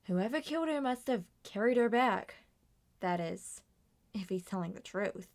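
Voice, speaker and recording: A woman voicing many characters in an ASMR roleplay, speaking softly and often dropping to a whisper. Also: medium pitch, lively intonation, moderate pace. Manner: soft-spoken, drifts between whispers and normal voice.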